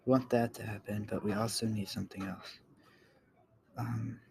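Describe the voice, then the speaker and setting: A man giving a casual software tutorial, thinking aloud in a halting way and often trailing off. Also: fairly low pitch, steady tone. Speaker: tutorial host. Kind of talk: casual screen-recorded coding tutorial. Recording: clear background noise, keyboard clicks.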